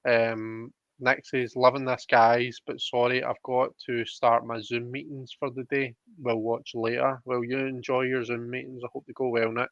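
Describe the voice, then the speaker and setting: A man's voice: medium pitch, level tone, normal speed, few pauses, fairly bright tone, no room sound, dull audio. A man hosting a live tarot reading in a chatty, jokey way, reading out viewer comments as he goes. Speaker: host of a livestream tarot reading. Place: indoors, online livestream.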